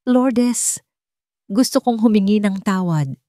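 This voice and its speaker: A woman with a Filipino accent voicing a remorseful wealthy father, gentle and earnest, in an audio drama. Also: medium pitch, expressive, slow, dry recording, clean studio sound, voice pushed up. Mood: remorseful, emotional.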